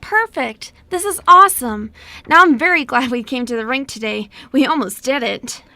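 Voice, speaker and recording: A woman's voice: high-pitched, moderate pace, pushed and bright, no echo. A woman playing a friendly, tactful young sister in an amateur radio play, talkative and eager. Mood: delighted.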